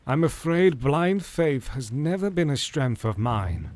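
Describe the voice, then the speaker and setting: An actor playing a learned elderly doctor who hunts vampires, speaking formally and measuredly. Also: male voice, mid-range voice, sing-song, measured pace, a little mellow, dry recording. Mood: worried. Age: older. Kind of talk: audio drama acting.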